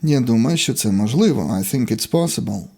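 A man's voice: medium pitch, expressive, brisk, darkish tone, breathy edge. A man teaching a language lesson, reading out short, separate example sentences in an instructional way. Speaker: English teacher.

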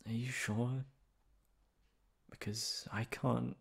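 A man playing a lovestruck young delinquent confessing to his best friend in an intimate ASMR roleplay, tender and halting. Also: mid-range voice, level tone, gravelly, husky, full character voice. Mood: hesitant, vulnerable.

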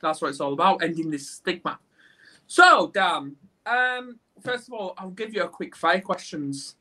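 A man in his thirties with a croaky voice from a cold, hosting a chatty video call interview and tripping over his words now and then. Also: sits high, lively intonation, unhurried, sharp tone. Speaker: host. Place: room with a green screen.

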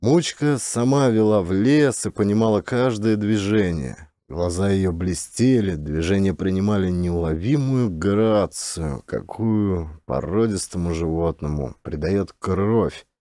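Guy narrating an audiobook, lowish mellow voice, measured storyteller delivery. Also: no echo, clean studio sound. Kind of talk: literary audiobook narration of a classic short story.

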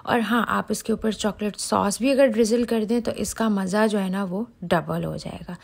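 A woman talks through a recipe as a home cooking host. Her pitch sits in the middle for a woman, and she is chatty and casual, speaking directly to her viewers as she explains each step. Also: fairly even intonation, normal speed, creaky, husky. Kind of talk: casual recipe voice-over tutorial.

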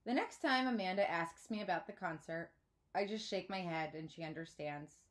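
A woman's voice: lowish voice, normal speed. A woman in her thirties narrating a children's book expressively, like a teacher reading aloud. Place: small indoor room at home.

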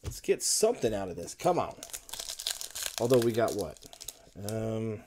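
Slow man reading off card names. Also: on the low side, slight background noise. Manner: lists card names in a running murmur, brief excited outbursts. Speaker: card-opening video host. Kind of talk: casual unboxing commentary. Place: home room with a desk. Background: crinkling card wrappers.

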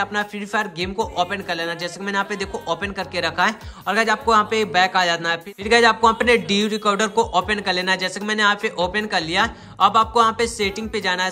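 High, bright-voiced young man. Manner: repetitive, addresses viewers directly. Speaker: tech tutorial YouTuber. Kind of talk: step-by-step tutorial narration.

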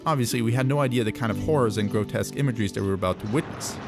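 A man hosts a scripted video essay in a conversational, reflective voice. His pitch sits in the middle of the male range. Music plays clearly underneath his narration. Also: racing. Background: background music.

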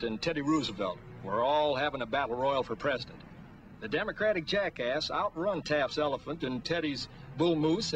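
A man's voice: medium pitch, a bit bright, highs cut off, lightly acted. An actor playing a chatty old fireman, telling stories in a folksy, colloquial way in a 1940s film. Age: older. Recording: faintly noisy 1940s film soundtrack.

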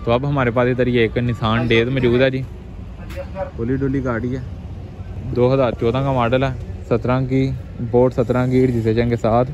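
A Pakistani man gives walk-around commentary on used cars in a level, middle-pitched voice. His patter is repetitive and sprinkled with English words. The sound is slightly dull, with clear outdoor traffic noise around him. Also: little pitch movement, normal speed. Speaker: host of a used-car market video. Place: outdoor car market.

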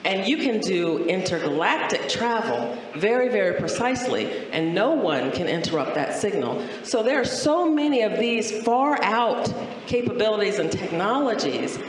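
A woman's voice: medium pitch, big pitch swings, normal speed, few pauses. A middle-aged woman with an American accent, explaining emphatically in a public talk on an auditorium stage with a handheld mic. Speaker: space agency executive, guest speaker. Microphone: handheld microphone. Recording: very echoey hall, faint noise.

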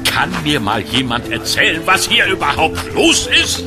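An actor playing a blustering, bemused police commissioner in a children's audio drama, musing in drawn-out puzzlement. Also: male voice, fairly high pitch, sing-song, music in the background.

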